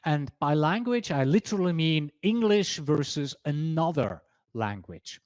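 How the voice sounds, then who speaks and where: A man with a Swiss German accent teaches an exam prep lesson, and his pitch sits a little high and swings widely. He talks in a chatty way, reads quotes off slides and stops to correct himself. The recording is dry and close. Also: big pitch swings, measured pace, darkish tone, dry recording. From Switzerland. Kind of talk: presentation lesson.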